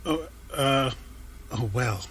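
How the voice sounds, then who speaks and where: An actor playing an earnest, hesitant resident who speaks apologetically in an audio drama. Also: male voice, medium pitch, slow, background noise, close to an everyday voice. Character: earnest, hesitant charity-minded male resident.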